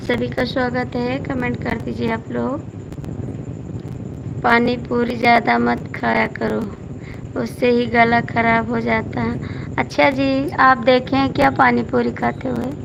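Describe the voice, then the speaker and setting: Hoarse, gravelly woman chatting on a live stream. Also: fairly high pitch, little pitch movement, measured pace, noisy background. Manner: chatty, repeatedly coaxing viewers by name to comment. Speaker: folk singer hosting a live stream. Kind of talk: casual live-stream chat reading out viewer comments.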